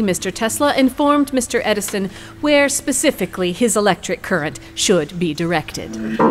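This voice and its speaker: A woman's voice: mid-range voice, natural voice. A woman playing an assertive lawyer in a courtroom audio drama, arguing formally and rhetorically. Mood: wry, narrating.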